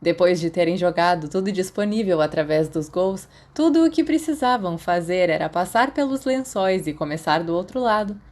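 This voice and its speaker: Low-pitched Brazilian woman narrating a story. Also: expressive, moderate pace, few pauses, a little mellow. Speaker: audiobook narrator of fan fiction.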